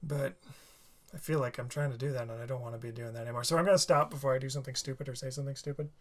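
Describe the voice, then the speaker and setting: A man's voice: mid-range voice, fairly even intonation. Tired man rambling in a reaction video. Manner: halting, many restarts and fillers. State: tired, slightly sore throat. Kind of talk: casual rambling commentary.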